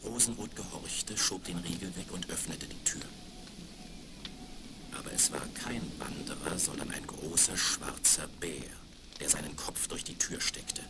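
A man's voice: low-pitched, measured pace, in a whisper. A man narrating a scripted fairy tale for children as storyteller, on a crackly 1970s vinyl record.

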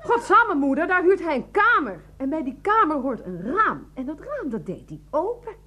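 An actress playing a sarcastic, exasperated Dutch wife who is quick to rage, in a radio drama. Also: female voice, high-pitched, very animated, pushed and bright, exaggerated voice. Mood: exasperated sarcasm.

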